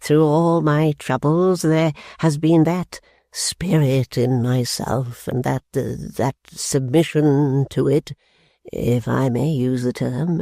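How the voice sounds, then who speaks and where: Woman putting on an older father, pompous, self-pitying, slow, halting, low and dark. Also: dry recording, studio quality. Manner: slow, halting, mournfully dignified.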